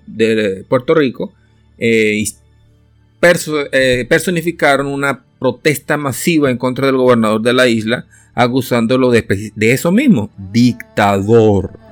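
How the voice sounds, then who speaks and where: Man hosting a solo opinion podcast. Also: mid-range voice. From Venezuela. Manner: unscripted and searching, with restarts and filler pauses. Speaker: podcast host.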